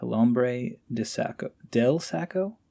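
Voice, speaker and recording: A man's voice: mid-range voice, lively intonation, slow pace, creaky, warm tone. A man chatting casually as a podcast guest, self-deprecating and playfully dramatic. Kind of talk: casual chat.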